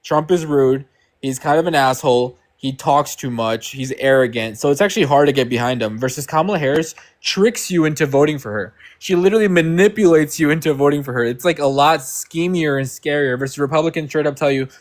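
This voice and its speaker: A man's voice: on the high side, brisk. A young man arguing hard in a heated online political debate, swearing and mocking his opponents. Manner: argumentative, mocking impressions, swearing.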